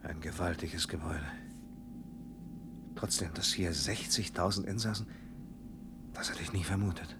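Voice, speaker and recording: An actor playing a middle-aged visitor in a German radio play, dry, wary and sardonic, with a defiant streak. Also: male voice, low-pitched, normal speed, pauses often, background noise, lightly acted. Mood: uneasy.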